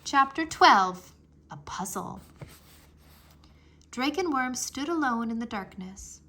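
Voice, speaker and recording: A woman narrating a children's adventure book aloud with expressive, dramatic phrasing. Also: on the high side, slow. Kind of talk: children's storybook read-aloud.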